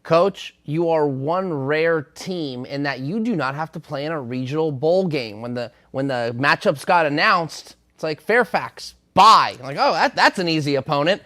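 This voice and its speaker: A man's voice: on the high side, fairly bright tone. A man co-hosting a sports talk show, asking chatty, playful questions.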